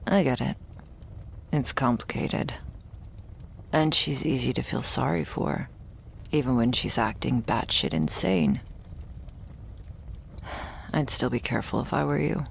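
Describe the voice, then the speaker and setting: A woman playing a wry, guarded young thrall in a vampire ASMR roleplay, gravelly and often slipping toward a whisper, with a dry, hesitant way of musing. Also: very deep voice, unhurried, pauses often, a little hiss or hum, exaggerated voice. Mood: wry, cautioning.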